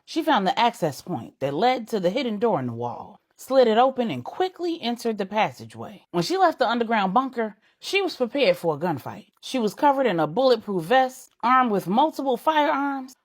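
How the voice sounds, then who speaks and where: A woman storyteller reading a dramatic scripted story, phrasing it deliberately in short units. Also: on the high side. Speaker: storyteller, narrator.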